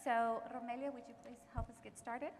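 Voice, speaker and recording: An upbeat woman moderating a live panel, her voice slightly high. She is encouraging and restarts a sentence now and then. A long hall reverb and faint background noise surround her. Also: flat delivery, fairly quick, a little mellow. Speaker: event moderator, recruiter.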